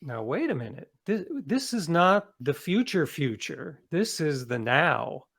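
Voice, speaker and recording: A man's voice: a little high, very animated, measured pace, creaky, a bit bright, slightly rough. A man speaking as an interviewed author, explaining ideas in a reflective, conversational way with small qualifying asides.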